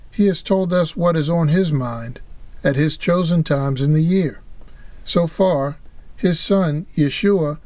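A man's voice: a little high, darkish tone, no room sound. A man co-hosting a religious podcast, reading an earnest, preacherly script. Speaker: podcast co-host.

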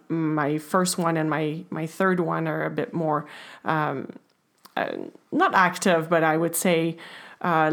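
A woman in her forties with a slightly low voice speaks as a podcast guest. She talks casually about home life, pausing and restarting often as she thinks. Her delivery is relaxed but unsure. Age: 40s. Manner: hesitant, many fillers and restarts. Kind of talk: casual chat.

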